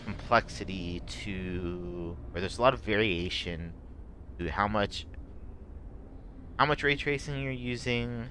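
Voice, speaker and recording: A man streaming a game, talking in a rambling, halting way with many fillers, his voice a bit rough and strained. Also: fairly low pitch, pushed and bright, slight background noise. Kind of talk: casual gameplay commentary.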